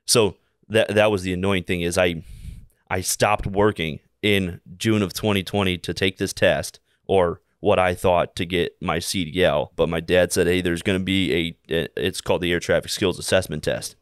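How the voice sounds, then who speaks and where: Young American male, 20s, podcast guest. Very low, smooth voice, rambling anecdotal delivery. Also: steady tone, dry recording, studio quality. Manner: halting, rambling, repeats himself while telling anecdotes. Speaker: podcast guest, air traffic controller. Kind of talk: casual interview storytelling. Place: small indoor room, seated. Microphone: close podcast mic on boom arm.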